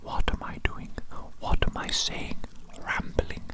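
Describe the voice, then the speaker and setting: A man's voice: measured pace, lightly acted. A man playing an eccentric evil doctor in a whispered ASMR roleplay, fussily repeating words to a tiny creature in his care. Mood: flustered self-reproach. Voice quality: breathy, fully unvoiced whisper. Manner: fussy, repeats words and phrases obsessively.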